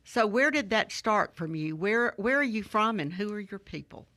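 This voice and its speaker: A woman's voice: medium pitch, expressive, moderate pace, sharp tone. A woman co-hosting a food talk show who guides the conversation and lightly teases her co-host. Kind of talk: casual interview chat.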